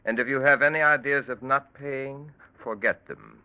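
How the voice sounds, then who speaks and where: An actor playing a cold, calculating crime-drama surgeon in 1940s radio style, speaking formally and precisely with unruffled menace. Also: male voice, medium pitch, steady tone, moderate pace, clean tone, close to an everyday voice. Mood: cold, menacing. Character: cold, calculating male surgeon extorting his criminal patients. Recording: muffled vintage radio drama recording.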